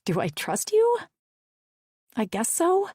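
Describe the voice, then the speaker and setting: A woman's voice: very high voice, huge pitch swings, takes it slowly, a little mellow, no room sound, clean studio sound, pitched above the performer's own voice, heavily acted. A woman voicing an eager young woman, hesitant and breathless in her replies. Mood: unsure.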